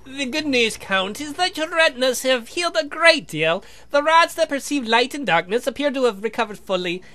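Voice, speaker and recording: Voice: sits high, expressive, normal speed, sharp tone, pitched above the performer's own voice. A performer voicing a patient young male scientist in a visual novel, explaining things in a formal, precise, pedantic way. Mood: measured.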